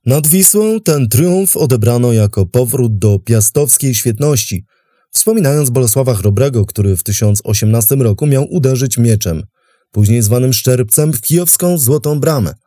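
A man hosting and narrating a scripted history documentary in a measured, articulate storytelling way. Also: medium pitch, dark tone. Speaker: host and narrator.

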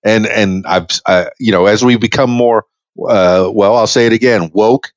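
A man's voice: on the low side, moderate pace, no echo, dull audio. Rambling man in his fifties hosting. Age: 50s. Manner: rambling, restarts often, laughs easily. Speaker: podcast host. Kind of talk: casual interview chat.

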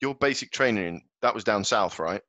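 A man's voice: lowish voice, expressive, normal speed, pushed and bright, no echo. A man hosting a podcast, asking loose, rambling questions with plenty of fillers. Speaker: podcast host. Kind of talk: casual interview chat. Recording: dry, dull lo-fi sound.

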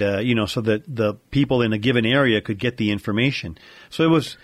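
A man's voice: medium pitch, no echo. A man with an American accent explaining technical matters informally, like a knowledgeable regular guest on a radio-themed podcast.

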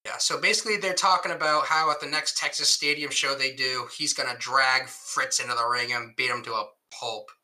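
Bright-voiced, laughing podcast guy. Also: mid-range voice, fairly quick, few pauses, poor audio. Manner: laughs often, swears freely, animated recapping. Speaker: podcast co-host. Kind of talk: casual chat.

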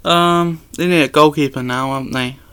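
A man's voice: on the high side, normal speed, a bit bright, clean tone. A young man co-hosting a casual football podcast, giving matter-of-fact opinions. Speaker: podcast co-host.

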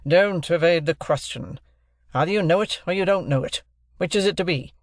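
A man voicing a bullying, sarcastic gentleman who cross-examines in a hectoring, authoritative manner for an audiobook. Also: fairly high pitch, moderate pace, no echo, clean studio sound, close to an everyday voice. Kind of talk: classic novel narration, character voice.

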